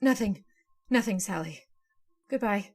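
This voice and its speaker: Actress as a husky, scheming woman. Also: medium pitch, lively intonation, unhurried. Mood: alarmed. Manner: urgent, commanding. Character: scheming, controlling woman plotting a murder. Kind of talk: old-time radio thriller acting.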